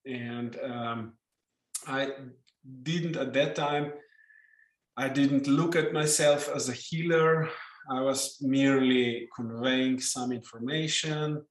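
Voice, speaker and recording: A man's voice: mid-range voice, steady tone, slow pace, reverberant, low-quality recording. A middle-aged man from Slovenia whose first language is Slovenian, speaking English with a Slovenian accent as an interview guest, telling his story reflectively with many hesitant fillers. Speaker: guest, intuitive healer and author.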